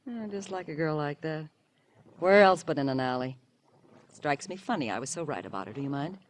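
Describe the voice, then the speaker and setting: An actress playing a haughty, impatient middle-aged American wife, scornful and evasive, in an old-time radio drama. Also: female voice, low-pitched, lively intonation, a little fast. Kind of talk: 1940s-50s American radio drama acting. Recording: vintage radio recording.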